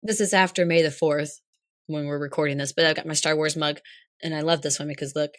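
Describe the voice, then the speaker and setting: A young woman co-hosting a podcast in casual chat, hoarse as her voice comes back, rambling and laughing often. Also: low-pitched, steady tone, a little fast, no room sound, studio quality. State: hoarse, recovering lost voice. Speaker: podcast co-host.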